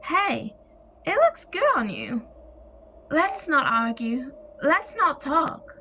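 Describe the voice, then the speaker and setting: An actress playing a breezy, enthusiastic sister in an audio play, with a coaxing manner. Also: female voice, high-pitched, big pitch swings, takes it slowly, bright, forceful tone, a little hiss or hum, full character voice. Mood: breezy, delighted. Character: breezy, enthusiastic woman placating her cross sister.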